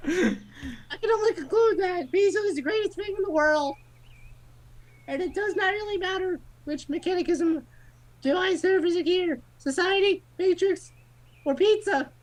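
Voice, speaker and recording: A woman voicing an excitable, pizza-obsessed game narrator in a silly high voice, rambling along earnestly. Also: unhurried, low-quality recording. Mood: excited. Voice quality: very high-pitched, silly put-on voice. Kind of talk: silly character read-aloud of a visual novel.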